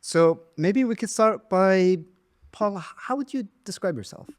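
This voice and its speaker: A man's voice: high voice, animated, unhurried. A man hosting a podcast interview, thankful and self-deprecating as he introduces his guest. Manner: warm, grateful, self-deprecating. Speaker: podcast host.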